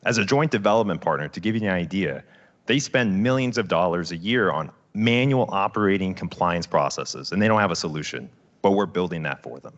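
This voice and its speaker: A man co-founder delivering a confident, salesy startup pitch on stage. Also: expressive, fairly quick, highs cut off. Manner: confident, salesy, building to asks.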